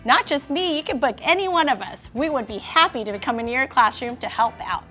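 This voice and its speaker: High-voiced woman presenter with upbeat delivery. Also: animated, fairly quick, bright, forceful tone, faint music behind.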